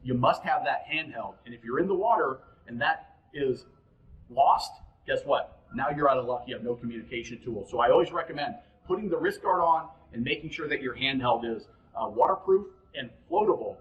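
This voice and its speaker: A man's voice: medium pitch, animated, fairly quick, lo-fi sound. Man giving an informal instructional tutorial. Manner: direct, instructive, addresses the viewer. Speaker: boating instructor, host.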